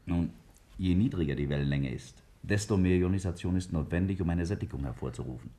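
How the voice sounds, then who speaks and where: An actor playing a confident lead scientist in a 1950s German radio drama, explaining things in a deliberate, didactic way. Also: male voice, low-pitched, warm tone, lightly acted. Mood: lecturing.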